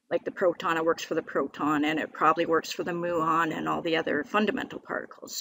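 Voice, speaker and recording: A woman's voice: lowish voice, a bit bright. A woman with a scratchy voice from a cold, explaining physics equations on screen in a hesitant way, with many fillers and restarts. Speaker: independent physics video maker. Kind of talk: walking through equations on screen.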